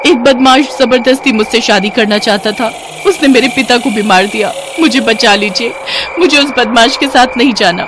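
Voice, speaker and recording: A woman's voice: fairly high pitch, moderate pace, background noise, pitched above the performer's own voice, lightly acted. A woman voicing a scheming witch who poses as a helpless bride, with a dramatic, pleading manner. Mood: fearful pleading.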